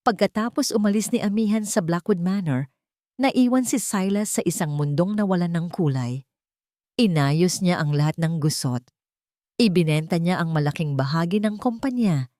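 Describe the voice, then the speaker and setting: A woman with a Filipino accent narrating a scripted romance story in measured, dramatic phrases. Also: lowish voice, dark tone, no room sound, clean studio sound.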